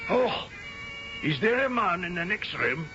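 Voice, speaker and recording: Sergeant major, high bright voice, animated, puzzled, military courtesy, radio play. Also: male voice, noisy background. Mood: puzzled, dutiful. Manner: brisk military politeness, bewildered. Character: dutiful, puzzled Scottish pipe sergeant major. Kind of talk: radio drama acting. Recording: dull old radio recording, faint music.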